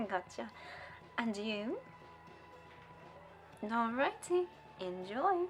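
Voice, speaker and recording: A woman's voice: on the high side, big pitch swings, drawn-out words, exaggerated voice. A woman playing a cheery, bustling pub waitress with breezy, familiar patter in an audio roleplay. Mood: brisk, friendly. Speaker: voice actor. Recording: music behind the voice.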